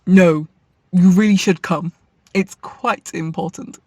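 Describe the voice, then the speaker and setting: A blunt young female supervisor in a radio comedy. Her voice is lowish and husky, recorded dry and close. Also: slow pace, darkish tone, no echo. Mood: urgent, insistent. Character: blunt, sarcastic workshop supervisor, a young woman. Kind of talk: radio play acting.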